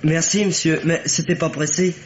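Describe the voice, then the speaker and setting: A man's voice: fairly high pitch, steady tone, normal speed, natural voice. An actor playing a respectful, apologetic young garage errand boy in a radio mystery. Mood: deferential. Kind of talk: old French radio mystery play acting. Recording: muffled, echoey, noisy old radio recording.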